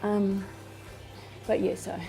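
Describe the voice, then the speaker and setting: A woman's voice: mid-range voice, little pitch movement, slow, gravelly, mellow. A woman with a New Zealand accent, chatting casually as she films her home fish room, rambling with plenty of ums and restarts. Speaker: fishkeeping vlogger. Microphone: handheld camera.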